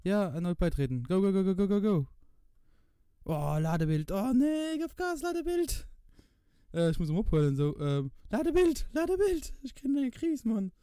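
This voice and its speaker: A man with a Luxembourgish accent giving casual gameplay commentary, excitable and repeating words in quick bursts. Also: high-pitched, clean tone, no echo, clean studio sound. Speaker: gamer commentating own play.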